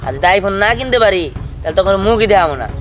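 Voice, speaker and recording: A man's voice: high voice, expressive, fast talker, a bit bright, soft background music. A man voicing a stubborn, whiny boy from a poor family in a cartoon comedy, with a Bangladeshi accent, pleading and demanding. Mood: hurt, defiant. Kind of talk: cartoon comedy drama dialogue.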